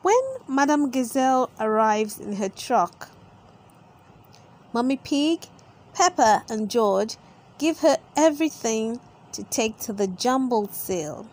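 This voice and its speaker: Casting: female storybook narrator for young children, high-pitched, unhurried, expressive. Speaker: storybook reader and channel host.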